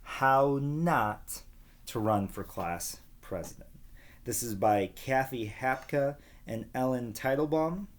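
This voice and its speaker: A man reading a children's book aloud like a teacher, pausing to explain and ask young listeners questions. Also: medium pitch.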